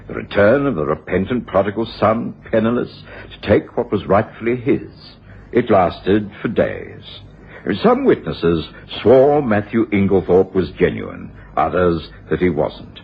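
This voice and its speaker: An actor playing a thoughtful detective's companion, narrating a scripted radio drama dramatically. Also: male voice, on the low side, vocal fry, breathy edge, full character voice. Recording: dull old radio broadcast.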